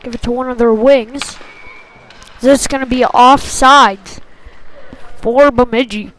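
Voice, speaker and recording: High-pitched woman calling hockey play-by-play. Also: fairly even intonation, measured pace, a bit bright, poor audio. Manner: short clipped phrases, following play as it happens. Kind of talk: live sports commentary.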